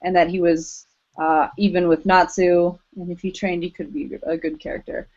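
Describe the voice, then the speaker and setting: A woman's voice: on the low side, level tone, moderate pace, darkish tone. A woman co-hosting a podcast in casual, playful and teasing banter. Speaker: podcast co-host. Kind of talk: casual banter about anime. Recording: dull lo-fi audio.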